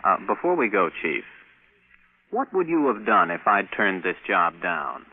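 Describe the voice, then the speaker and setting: Young rocket captain, tinny vintage radio. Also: male voice, sits low, sing-song, measured pace, husky, full character voice. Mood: guarded. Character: eager, flustered young male rocket pilot captain. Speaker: narrator and lead actor. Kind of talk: 1950s radio drama acting.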